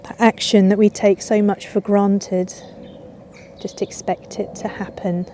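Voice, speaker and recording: A woman yoga teacher guiding a relaxation in a soothing, unhurried way with long pauses. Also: medium pitch, flat delivery, dark tone, slight background noise. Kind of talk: guided yoga nidra relaxation. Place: outdoors in a garden. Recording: outdoor ambience with birdsong. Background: birds and garden ambience.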